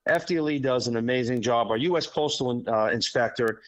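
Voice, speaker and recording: A man's voice: medium pitch, a little fast. A man who is a county sheriff, a guest on a radio talk show, speaking emphatically and repeating his points. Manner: emphatic, praising, repeats phrases. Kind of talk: radio interview.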